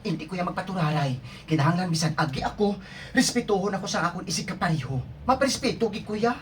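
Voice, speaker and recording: Woman practicing radio drama lines, lowish lively voice, bit far from mic w faint noise. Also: fast. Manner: repeats lines, stumbles and restarts. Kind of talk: rehearsing radio drama lines, with casual chat.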